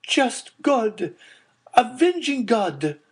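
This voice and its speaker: A man's voice: extremely high pitch, sing-song, very slow, breathy edge, pitched above the performer's own voice, heavily acted. A man voicing a distraught aristocratic woman, pleading and close to sobbing. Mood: desperate.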